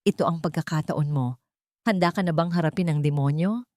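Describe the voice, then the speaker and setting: A woman's voice: low-pitched, a little fast, darkish tone, no echo, clean studio sound, lightly acted. Woman reading a businesslike man, scheming ally. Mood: purposeful.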